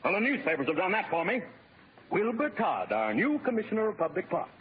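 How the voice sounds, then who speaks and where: Blustering high-pitched scheming boss, old radio. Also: male voice, very animated, a little fast, slightly rough, exaggerated voice. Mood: sarcastic, exasperated. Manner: sarcastic, exasperated. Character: jealous, scheming, blustering political boss husband. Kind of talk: 1940s radio comedy acting. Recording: tinny, noisy vintage radio recording.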